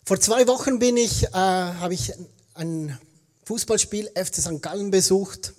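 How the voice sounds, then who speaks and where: A man's voice: high-pitched, unhurried, darkish tone. A Swiss German man with a Swiss accent, preaching a sermon as a guest pastor in standard German, telling stories conversationally and correcting himself as he goes. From eastern Switzerland. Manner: conversational storytelling, self-correcting.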